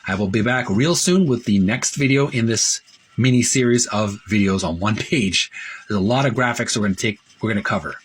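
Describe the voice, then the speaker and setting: A man hosting a retro gaming video, talking casually in a halting, wordy way, rephrasing himself as he shows and reads printed material. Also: fairly low pitch, a little hiss or hum. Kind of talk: casual narrated show-and-tell, reading printed ad copy aloud.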